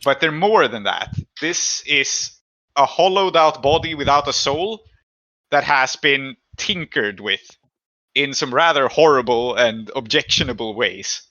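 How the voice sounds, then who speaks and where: Bright, sharp-voiced man as game master. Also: medium pitch, lively intonation, unhurried, muffled recording. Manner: conversational, explanatory. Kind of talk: narrating and adjudicating a tabletop roleplaying game.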